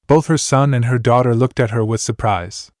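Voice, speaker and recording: A man reading a fan fiction story aloud in a steady, plain way. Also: medium pitch, normal speed, no room sound, studio quality. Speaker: narrator.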